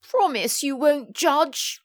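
A woman's voice: high voice, animated, slow, no echo, studio quality, voice pushed up. A woman voicing a defensive, indignant mum in a contemporary comic novel, protesting with embarrassed emphasis. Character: defensive, indignant mum confiding in her grown child.

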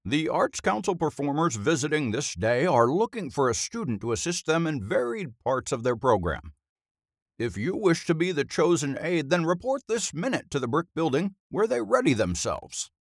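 A man's voice: on the high side, very animated, normal speed, no echo, clean studio sound, pitched above the performer's own voice. A man voicing a pompous male headmaster in an audiobook, speaking with wordy, old-fashioned formality. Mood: officious.

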